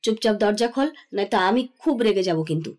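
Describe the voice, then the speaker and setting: A woman's voice: mid-range voice, lively intonation, a little fast, a little mellow, lightly acted. Woman acting a chiding, indulgent middle-aged mother. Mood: scolding. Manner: chiding, teasing, firm. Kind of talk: Bengali audio drama acting.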